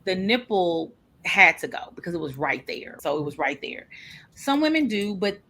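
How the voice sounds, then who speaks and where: A woman's voice: fairly low pitch, sing-song, moderate pace, bright, forceful tone. A woman guest speaking candidly and animatedly in an interview about her own experience. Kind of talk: casual interview talk.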